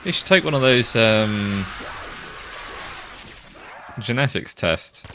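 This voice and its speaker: A man's voice: medium pitch, animated, slow pace, sharp tone. A young man, chatting casually while streaming a game, laid-back and swearing now and then. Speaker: VTuber streamer. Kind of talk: casual gameplay chat with chat. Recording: game sound noise behind voice.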